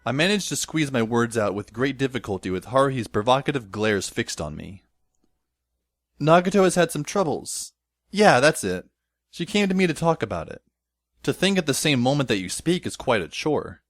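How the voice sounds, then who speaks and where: A man's voice: medium pitch, very animated, normal speed, no echo, close to an everyday voice. A man playing a sardonic, exasperated teenage schoolboy who narrates and acts his own story in an amateur audio drama, wordy and dry. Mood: evasive. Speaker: narrator and lead character. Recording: faint music behind the voice. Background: faint music.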